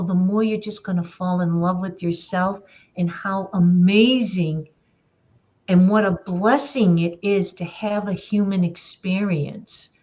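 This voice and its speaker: A woman's voice: lowish voice, darkish tone. A woman giving motivational, reflective talk in a conversational way, on muffled audio.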